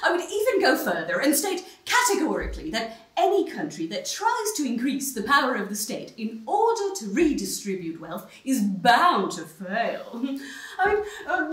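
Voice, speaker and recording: A self-assured female intellectual played by an actress argues smugly and emphatically in a stage satire. Her high voice swings widely in pitch, and the sound is roomy. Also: huge pitch swings, normal speed, fairly bright tone, recorded from a distance, full character voice. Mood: confident, emphatic. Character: self-assured, smug free-market female intellectual pundit. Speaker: actor in a stage play. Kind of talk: satirical stage play acting of a TV debate. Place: small stone-walled theatre stage.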